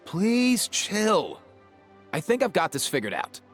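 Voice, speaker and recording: A man's voice: high-pitched, huge pitch swings, slow pace, soft background music, full character voice. A man voicing a laid-back, caring doctor in a game, with slangy, chilled-out phrasing. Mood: assured, explaining. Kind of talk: English game voice acting.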